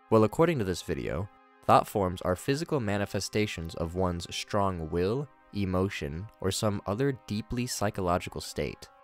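A young man reading his scripted commentary on a horror video series, in a measured way. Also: lowish voice. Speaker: video reviewer.

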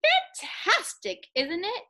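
An indignant talking object from a folktale, played by a woman, gives orders in drawn-out words. The voice is high and sharp, with big swoops in pitch. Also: huge pitch swings, full character voice. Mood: mocking. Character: bossy talking objects: tree, stone, cloth, river, stool.

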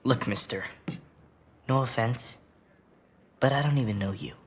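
A man's voice: medium pitch, measured pace, slightly rough. An actor playing a wary, cocky, bookish American teenage boy in a naturalistic video game scene, blunt and earnest.

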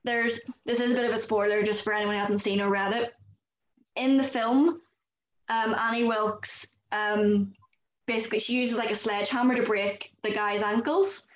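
A woman's voice: on the high side, steady tone, moderate pace. Chatty Northern Irish woman, echoey call. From Northern Ireland. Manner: chatty, lots of "like". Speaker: host. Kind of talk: casual panel chat. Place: small room indoors. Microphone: video call. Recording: echoey room over a video call.

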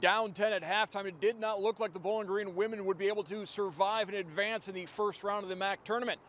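A man delivering a brisk sports news report on college basketball. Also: high-pitched, faint music behind. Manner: brisk, even newscast delivery.

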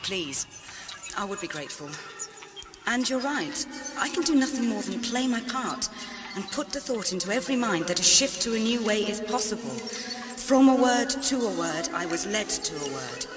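An actress playing an earnest, idealistic rebel in a science-fiction audio drama, solemn and visionary. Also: female voice, medium pitch, lively intonation, moderate pace, close to an everyday voice. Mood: solemn, determined. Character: earnest, idealistic woman rebel with mystical conviction. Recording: music behind the voice.

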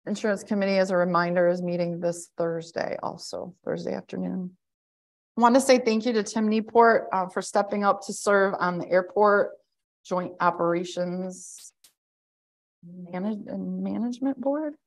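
A woman in her thirties with a loud voice, giving an administrator's report at a county board work session over a video call, talking conversationally with many fillers and restarts. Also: mid-range voice, moderate pace. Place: indoor office, joining a hybrid meeting. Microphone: webcam on a video call.

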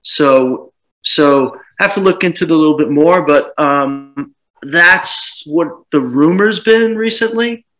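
A man in his thirties talks over a laptop webcam from a dim living room, his voice bright and a touch high. He rambles and restarts often, with animated bursts, in a relaxed video call chat. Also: a little slow, rough recording. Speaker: home seller.

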